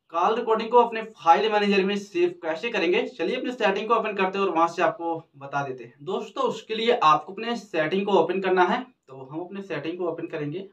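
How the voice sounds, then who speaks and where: A young man hosting a phone tutorial, walking viewers step by step through the settings and repeating each step. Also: on the high side. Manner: steady explanatory, repeats steps, addresses viewers as friends. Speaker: tech tutorial host. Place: small indoor room with blue cloth backdrop. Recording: distant, dull lo-fi sound.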